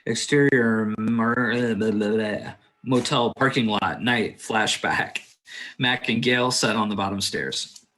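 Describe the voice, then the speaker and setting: A man's voice: lowish voice, takes it slowly, fairly bright tone. A man narrating stage directions from a script at a table read.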